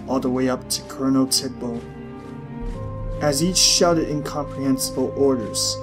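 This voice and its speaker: A man reading a story aloud as a scripted audiobook narration. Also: mid-range voice.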